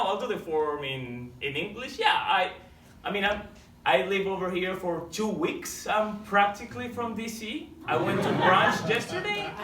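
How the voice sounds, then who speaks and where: Peruvian man, Spanish-accented comic, high animated voice, far from the mic in a roomy hall. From Peru. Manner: animated, playful, laughing. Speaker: comedian performing live. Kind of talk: stand-up sketch with audience interaction. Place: live stage show. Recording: distant stage mic, roomy with loud noise.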